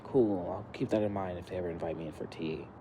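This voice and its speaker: A man's voice: low-pitched, fast, natural voice. A man playing a curious, wisecracking teenage boy in an audio drama, casual and offhand. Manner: offhand, sarcastic. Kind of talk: audio fiction dialogue. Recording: noisy amateur recording with background ambience.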